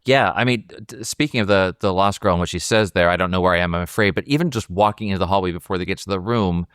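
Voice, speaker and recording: A man's voice: low-pitched, quick pace, a bit bright, smooth, no echo, clean studio sound. A man co-hosting a film discussion, working through his questions aloud in a casual way. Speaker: podcast co-host analyzing a film.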